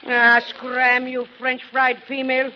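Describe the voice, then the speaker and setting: A man playing a brash, blunt, seagoing old tugboat woman in a 1940s radio comedy, rough and wisecracking. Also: extremely high pitch, monotone, measured pace. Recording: dull old radio recording, faint hiss.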